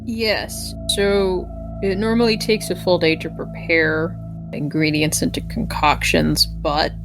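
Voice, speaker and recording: A woman playing a tabletop game, talking casually in a measured, halting way. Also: lowish voice, level tone, gravelly. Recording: music playing behind the voice.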